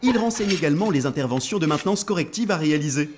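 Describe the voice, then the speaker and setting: A man voicing a friendly, reassuring machine buddy who explains things in a chummy, animated way in an educational cartoon. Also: a little high, quick pace, background noise, close to an everyday voice. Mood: explaining, reassuring. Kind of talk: scripted educational cartoon dialogue.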